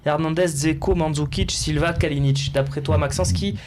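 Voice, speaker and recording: A man hosting a football talk show from a studio, prompting guests with quick interjections. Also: on the high side, steady tone, a little mellow, slight background noise.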